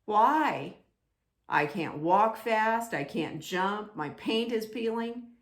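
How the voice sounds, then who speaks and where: Small unsure wooden storybook character, male, acted by a woman: plaintive, hesitant, slow, with room echo. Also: medium pitch, animated. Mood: bewildered. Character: timid, unsure little wooden puppet-person, male. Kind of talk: children's storybook read-aloud.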